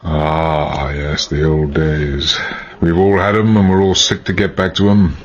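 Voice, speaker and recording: An actor playing a suave gentleman politician in a radio drama, urbane and genial with a probing manner. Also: extremely low pitch, flat delivery, a little slow, muffled recording. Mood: wistful.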